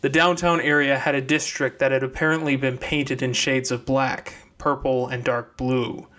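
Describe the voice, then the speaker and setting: A man's voice: medium pitch, level tone, normal speed, pushed and bright. A man narrating scripted fiction as an audiobook reader.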